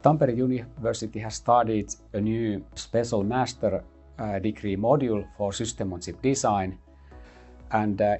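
Middle-aged man, low voice, measured interview talk, faint music bed. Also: mellow, breathy edge. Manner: measured, many "uh" pauses. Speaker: university computing head. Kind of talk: interview explanation. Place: office lab with whiteboard, indoors.

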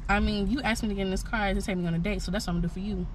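A woman's voice: medium pitch, steady tone, rapid-fire. Playful, sassy young woman. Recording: outdoor noise, mic at a distance.

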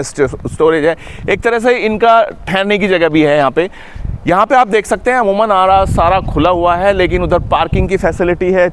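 A middle-aged man, explaining things to viewers in a casual, conversational travel vlog. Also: high-pitched. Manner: conversational, demonstrative, addresses viewers directly. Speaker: vlog host. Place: on a moving train and around stations. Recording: clearly noisy train and station ambience. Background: train and vehicle noise.

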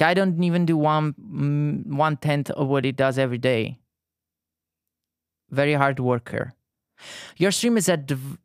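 Southern Italian male streamer, thirties, halting friendly chat, dry close sound. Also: a little high, clean tone, no room sound. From Italy. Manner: halting, frequent restarts and fillers, friendly. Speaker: art streamer. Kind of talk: casual live-stream chat while painting.

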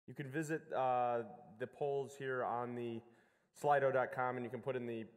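A man's voice: mid-range voice, fairly even intonation, normal speed. A young man moderating a stage chat through a headset mic, dropping dry joking remarks. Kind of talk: onstage fireside chat. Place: large conference hall stage. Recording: reverberant hall.

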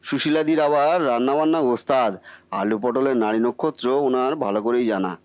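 A man's voice: medium pitch, brisk, smooth, natural voice. A man voicing a bumbling, boastful cartoon husband who cannot cook, muttering theatrically to himself. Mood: baffled, fretful. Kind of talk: comic Bengali cartoon acting.

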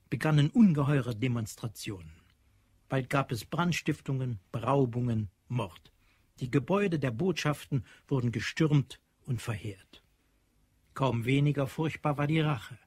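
A man narrating a radio play from a script in a measured, literary, dramatic manner. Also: mid-range voice, darkish tone, breathy edge, dry recording. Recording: dry studio with faint music.

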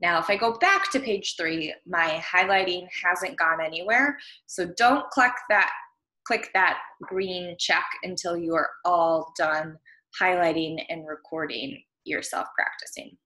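A woman's voice: fairly low pitch, a little slow, pushed and bright. A young American woman, a primary school teacher, explaining a reading task to children in simple steps. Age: young adult. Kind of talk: instructional screen-share lesson for young children. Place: small room at home. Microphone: computer webcam, video recording. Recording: roomy home computer microphone sound.

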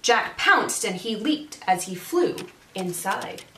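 A woman's voice: medium pitch, sing-song, slow pace, pushed and bright, slight background noise. A woman in her thirties, a teacher, reading a children's storybook aloud expressively. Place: small indoor room with brick wall. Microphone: camera a few feet away. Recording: echoey room, mic at a distance.

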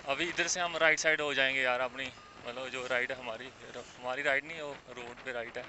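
A young man in his twenties chatting casually and excitedly while riding a scooter, recorded outdoors on a clip-on mic. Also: medium pitch, a little fast. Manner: chatty, excited, repeats "bhai" and "yaar". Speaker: motovlogger. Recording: wind and traffic noise, dull lo-fi sound.

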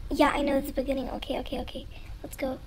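A woman's voice: high-pitched, level tone, fast talker, a little hiss or hum, low-quality recording. A young woman giving casual, nervous commentary as she plays a horror game, with an informal, jumpy manner. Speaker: gamer, video creator.

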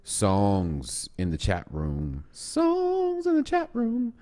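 A man's voice: fairly low pitch, wildly swooping pitch, extremely slow, warm tone. A man co-hosting a casual music livestream from a studio, laid-back and repeating himself while he scans the chat. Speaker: co-host. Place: studio with red curtains. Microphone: studio mic on a boom arm.

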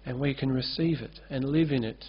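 A pastor in his forties delivers an earnest sermon from a church stage, gesturing as he speaks into a lectern microphone. His voice sits at an ordinary middle pitch. The recording is somewhat dull and lo-fi. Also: a little fast, slightly rough. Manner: earnest, gestures freely while preaching at a lectern. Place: church stage with curtain backdrop. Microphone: lectern-mounted microphone.

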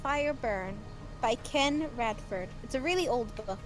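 A woman talks casually on a game stream with friends. Her voice is high, and music plays clearly behind her. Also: expressive, a little slow. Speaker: amateur voice actor on a game stream. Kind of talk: casual chat.

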